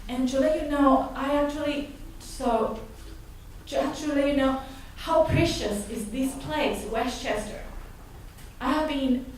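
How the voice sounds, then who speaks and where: A high-voiced woman from Argentina speaks at a public hearing, earnest and halting, often restarting mid-sentence. She is picked up from a distance in a very echoey hall. A light hiss sits under her voice. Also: a little mellow. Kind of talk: public comment at a town meeting. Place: large echoey meeting hall. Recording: echoey hall, distant mic, some noise.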